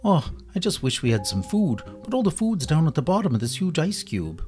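Man as a storybook doggy, high voice, whiny, eager, excitable, music behind. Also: fairly quick, natural voice. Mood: wistful. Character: excitable, whiny, eager cartoon toy dog. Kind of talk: children's story character voice.

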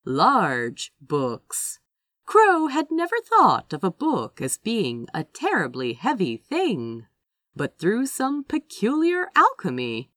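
Slow, highly expressive woman narrator. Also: no echo, clean studio sound. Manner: dramatic, lingering phrasing. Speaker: audiobook reader. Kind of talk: expressive scripted fiction narration.

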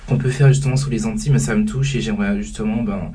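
Student association president, man, quick delivery, low mellow voice, distant mic, faint music. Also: fairly even intonation, slightly rough. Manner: quick, filler-heavy.

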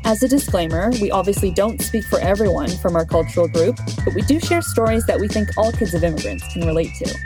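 A woman's voice: medium pitch, fast talker, few pauses, music in the background. A woman born in China who hosts a casual podcast and opens the show in an upbeat, friendly way. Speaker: podcast host. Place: home, Toronto.

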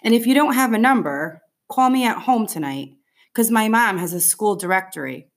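A woman's voice: medium pitch, lively intonation, normal speed, lightly acted. A woman reading the part of a bossy, eager fifth-grade boy rallying his friends in an audiobook. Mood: eager, insistent.

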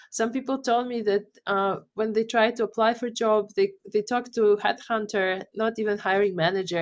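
Polish-accented woman founder on a podcast. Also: medium pitch. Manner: earnest, repeats words while searching. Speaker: startup founder guest. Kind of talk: podcast interview.

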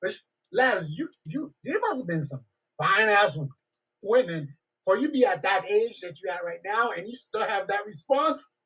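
A man's voice: high voice, expressive, normal speed, sharp tone, slightly rough, distant mic, poor audio. A man chatting casually as a participant in a group discussion. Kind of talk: casual chat.

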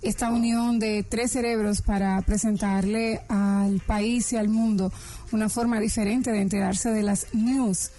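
Mellow-voiced woman co-host. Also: mid-range voice, steady tone, unhurried. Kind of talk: radio interview.

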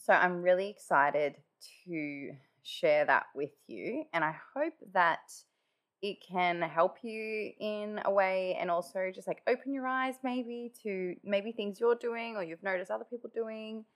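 A woman's voice: medium pitch, unhurried. A woman hosting her own podcast in casual, chatty talk, with a nasally, congested voice after just waking up. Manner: chatty, many "like" fillers. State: just woken up. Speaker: podcast host. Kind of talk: casual solo podcast chat.

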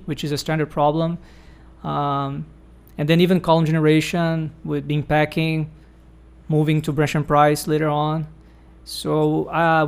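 A man with a slightly raised pitch hosts a relaxed academic conversation. He explains things at length and thinks aloud with frequent fillers, in the manner of a supervisor guiding students. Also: smooth.

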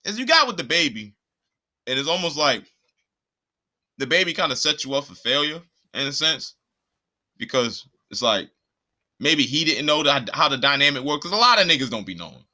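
An American man in a casual, opinionated podcast rant, speaking in an animated, indignant way with plenty of profanity and slang. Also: medium pitch, normal speed, long pauses. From Puerto Rico and the eastern United States. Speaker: podcast host.

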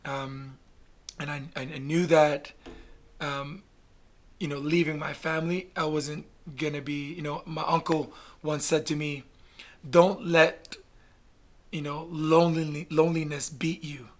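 A middle-aged man from Canada telling his story in a casual interview, blunt and animated, swearing freely. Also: a little high, measured pace. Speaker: guest, basketball player.

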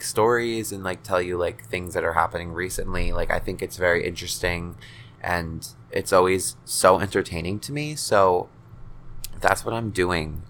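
Low-voiced nineteen-year-old guy rambling profanely. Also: creaky, sharp tone, slight background noise. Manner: rambling, profane, self-deprecating. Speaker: podcast host. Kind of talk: casual solo podcast ramble.

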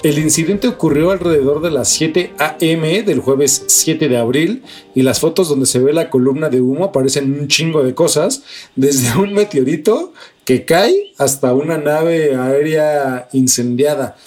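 A man's voice: fairly high pitch, unhurried, a little mellow. Mexican man, slangy podcast co-host over music. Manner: slangy, swearing. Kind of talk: news reading mixed with casual chat. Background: background music.

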